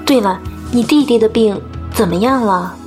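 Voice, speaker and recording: A woman plays a teenage schoolgirl in an audio drama. She has a fairly high voice and a caring manner, with soft music behind. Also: moderate pace, natural voice. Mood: concerned. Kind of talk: Chinese audio drama acting.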